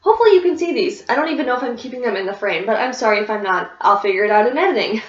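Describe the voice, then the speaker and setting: A woman's voice: on the high side, lively intonation, very fast. Congested woman chatting in an echoey room. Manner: rambling, many fillers and restarts. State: congested, sniffly. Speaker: beauty vlogger. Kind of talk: casual product review chat. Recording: echoey room, dull lo-fi sound.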